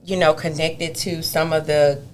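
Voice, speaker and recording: A woman, a gospel singer and interview guest, tells stories in an expressive, animated way and often breaks into laughter. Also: low-pitched, unhurried, slight background noise. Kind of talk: conversational interview storytelling.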